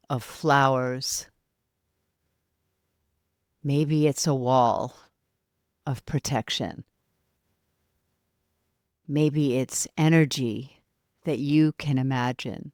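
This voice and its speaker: A woman guides a meditation in a dry, intimate-sounding recording. Her delivery is slow and soft, with long pauses between short phrases. The manner is soothing and unhurried throughout, as a yoga nidra guide. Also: rumbling low, no room sound.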